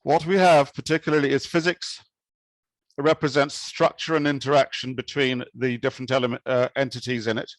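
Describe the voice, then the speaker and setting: A man from Kenya giving an academic talk with slides, speaking in a measured, thoughtful way with occasional restarts. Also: medium pitch, level tone, no room sound, poor audio. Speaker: conference speaker.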